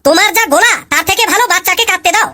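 Woman as wisecracking cartoon pupil; fast, bright, high, storytelling punchlines. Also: a little hiss or hum. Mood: cheeky. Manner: chatty storytelling, punchline delivery. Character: cheeky male pupil, married, joking about his wife. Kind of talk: cartoon comedy voice acting.

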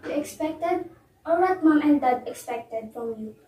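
A concerned, encouraging younger sister, acted by a young woman in an amateur family melodrama. Her voice is high and mellow. It sounds far from the mic, with faint noise behind. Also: animated, measured pace. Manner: earnest, encouraging. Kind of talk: amateur one-act melodrama. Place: small living room, indoors. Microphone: far camera. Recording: echoey living room, distant mic, faint noise.

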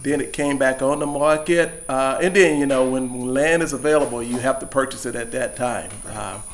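A man answering interview questions about his farm, speaking in a measured, explanatory way with frequent restarts. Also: mid-range voice. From Louisiana. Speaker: farmer.

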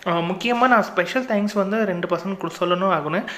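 A man's voice: high-pitched, fast talker, fairly bright tone. A man with a Tamil accent narrating a casual travel vlog, chatty and full of advice, leaning on lots of filler words. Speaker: travel vlogger.

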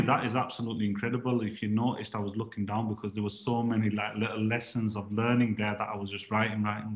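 A man moderating an online panel from his room, speaking with a fairly low voice. His remarks are encouraging and brisk, and the call audio has a noticeable echo around him. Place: home room on a video call.

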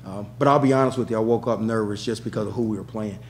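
A middle-aged man fielding questions as a head coach, rattling through answers at a quick clip. He repeats himself and restarts often, pressing his points with emphasis. A faint hum sits under his table mic. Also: on the low side. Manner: rapid, repetitive restarts, emphatic. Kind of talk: post-game press conference answers. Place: press room with backdrop.

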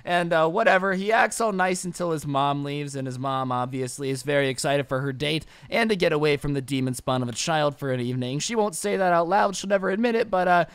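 Young male storyteller with a cold, high smooth voice, dry close mic, jokey sarcastic delivery. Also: a little fast, few pauses, a bit bright, no echo. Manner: sarcastic, jokey, rambling asides. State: sick with a cold. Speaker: storytime narrator. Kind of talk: comedic storytime narration over gameplay.

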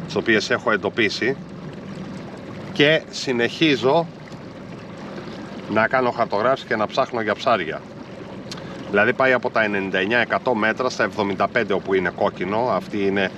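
Man, 30s, lowish voice, calm fishing vlogger talking to an action camera on a small boat at sea, clear water and motor noise under him. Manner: calm, explanatory, talks while fishing. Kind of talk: casual on-boat commentary to viewers. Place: small inflatable boat on open sea, outdoors. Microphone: action camera mounted on boat.

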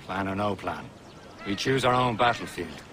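A man's voice: lowish voice, animated, moderate pace, lightly acted. An actor playing a friendly, wry male assassin comrade in a video game. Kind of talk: video game cutscene acting. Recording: game audio with outdoor noise.

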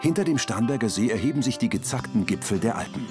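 A man's voice: medium pitch, expressive, fast, over music. A man narrating a scripted audio description in terse sentences.

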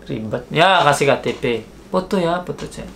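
A man in his thirties, seated in a small bedroom with a gaming setup, telling a story casually and acting out the dialogue with lively shifts in pitch, recorded on a camera mic. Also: moderate pace, gravelly, a little hiss or hum. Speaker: vlogger. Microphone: camera mic, seated facing camera.

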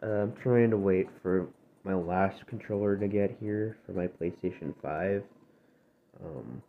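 A man hosting his gaming channel, chatting casually over gameplay with a dry, gravelly voice because he has just woken up. He rambles and corrects himself as he goes. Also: sits low, measured pace. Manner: rambling, self-correcting, drifting between topics. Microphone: phone screen-recorder audio. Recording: tinny, phone-like, faint noise.

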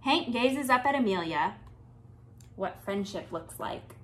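A woman in her thirties reading a picture book aloud to young children with expressive, deliberate phrasing. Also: medium pitch, a little hiss or hum. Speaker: children's storytime reader. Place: small indoor room with bookshelves.

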